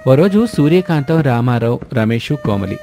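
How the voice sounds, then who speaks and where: A man's voice: medium pitch, big pitch swings, moderate pace, creaky, dark tone, faint music behind. A man reads scripted narration for a moral story.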